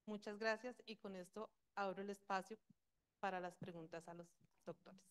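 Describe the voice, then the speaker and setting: A woman presenting at a conference, reading a formal talk in a measured, steady way with rhetorical questions. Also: mid-range voice. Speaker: conference speaker.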